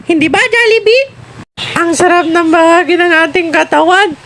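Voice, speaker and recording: A woman's voice: very high voice, normal speed, a bit bright, slight background noise. A woman voicing a cheerful, friendly young character in a children's show, exclaiming and addressing young viewers. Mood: cheerful, encouraging. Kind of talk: scripted children's show voice-over.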